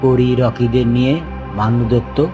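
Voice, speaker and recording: A man narrating a children's cartoon story in drawn-out, scripted phrases with pauses. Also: medium pitch, level tone. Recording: loud music behind the voice.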